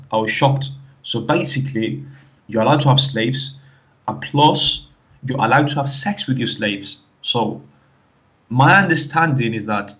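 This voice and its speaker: A man from the Canary Islands with a Spanish-influenced English accent tells his story casually, halting and repeating himself with many conversational fillers. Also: medium pitch, a bit bright. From Canary Islands, Spain. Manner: halting, repetitive, full of "like, you know". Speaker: guest caller telling his story. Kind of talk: casual personal testimony, conversational.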